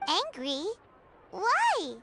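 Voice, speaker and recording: Super high squeaky little fairy sidekick for a game, excitable, voice swoops everywhere, loud music behind. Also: female voice, very slow, heavily acted. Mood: surprised, worried. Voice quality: squeaky, childlike. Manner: wide-eyed, exclaiming, gasping. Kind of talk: video game voice acting.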